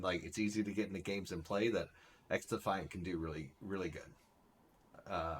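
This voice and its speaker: A man hosting a live stream, chatting casually and saying "like" and "right?" a lot. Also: lowish voice. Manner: relaxed, says "like" and "right?" often. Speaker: streamer host.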